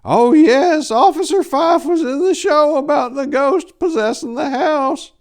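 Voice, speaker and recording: A man's voice: extremely high pitch, measured pace, a little mellow, voice pushed up. A man voicing a gullible, insistent old lady in a screechy, panicked voice for a short story reading. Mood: eager, insistent.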